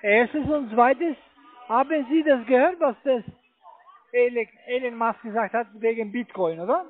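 A very high-voiced man with a foreign accent works through a sales call in halting, fumbling phrases. He is not a native speaker of the language. The sound is a tinny, narrow phone line. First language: not German. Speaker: telephone investment salesman.

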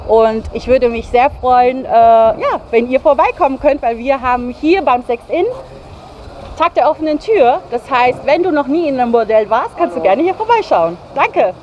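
A woman's voice: sits high, expressive, moderate pace, a little mellow. A middle-aged woman from Germany giving a spontaneous statement into a handheld mic, with formal, hesitant phrasing. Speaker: interviewee, sex worker advocate. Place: city street outdoors. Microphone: handheld interview mic. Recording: dull outdoor sound, faint street noise.

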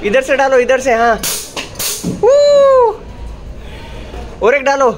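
A man's voice: very high-pitched, wildly swooping pitch, slow, background noise. A man, a father filming his small child in an indoor mall play zone on a phone, coaxing and cheering her on with short repeated words. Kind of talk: casual vlog commentary. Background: loud music in the play area.

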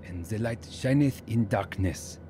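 An actor playing an earnest French husband, a musician and devout new believer, in a reverent radio drama style. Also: male voice, lowish voice, unhurried, natural voice. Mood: reverent, searching.

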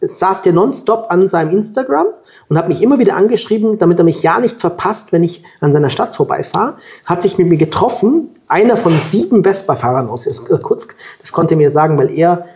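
A man from Germany in his forties, telling lively travel anecdotes as the guest at a table interview, on a lapel mic. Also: high voice, brisk. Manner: lively anecdotal storytelling, colloquial. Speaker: guest, long-distance scooter traveller. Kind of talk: storytelling in a sit-down interview. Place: room with plants at a table.

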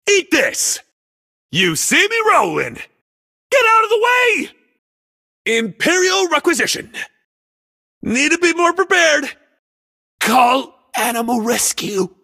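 A man voicing a brave, jolly, dutiful panda assassin in a video game, with husky, theatrical, emphatic short declarations. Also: very high-pitched, wildly swooping pitch, takes it slowly, pauses often, bright, forceful tone, full character voice. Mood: excited battle cries. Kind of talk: video game character voice lines.

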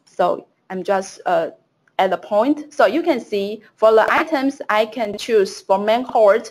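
Woman presenter, halting webinar software demo. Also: medium pitch. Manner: hesitant, many fillers and restarts.

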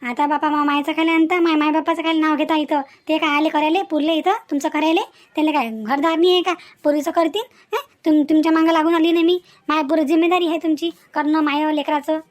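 A woman's voice: high-pitched, fairly even intonation, quick pace, hardly any pauses, close to an everyday voice. A woman voicing a scheming, nagging young village wife and mother with a rural Vidarbha Marathi accent, in scolding, repetitive cartoon dialogue. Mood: indignant scolding. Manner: scolding, repetitive, rhetorical questions.

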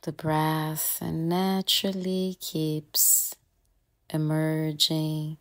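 A woman guiding a meditation in a calm, gentle way, leaving long pauses between short phrases. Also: low voice. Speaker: meditation guide. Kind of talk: guided meditation, soft and soothing instruction.

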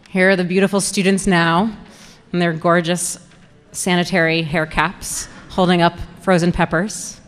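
A young woman giving a prepared, organized public presentation as a program coordinator, speaking into a microphone on a stand. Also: low-pitched. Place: indoor presentation room with audience. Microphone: handheld-style mic on stand. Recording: reverberant hall through PA microphone.

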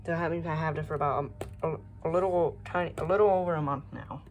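A woman's voice: low voice, fast talker, a little mellow, soft background music. A young woman with an American accent giving a casual show-and-tell narration on a handheld phone at home. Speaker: hobbyist vlogger showing a collection. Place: room at home. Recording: noisy handheld phone recording.

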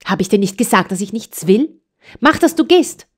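A woman reading a storybook aloud and voicing a grim, middle-aged farmer's wife who scolds in a sharp, harsh way. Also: medium pitch, expressive, moderate pace, voice pushed up, natural voice. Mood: scolding.

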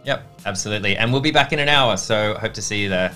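A man's voice: lowish voice, fast talker, bright, forceful tone, soft background music. A young man hosting a livestream, chatty and upbeat with many fillers, on a headset mic. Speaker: stream host. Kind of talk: casual livestream chat. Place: home studio with acoustic foam.

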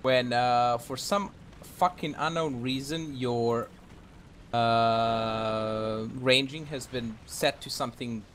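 A man's voice: mid-range voice, fairly even intonation, slow, a bit bright. A man chatting casually and calling out to a friend while gaming, bantering and swearing freely. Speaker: gamer playing with a friend. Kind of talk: casual gaming chat, callouts. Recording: clear background game noise.

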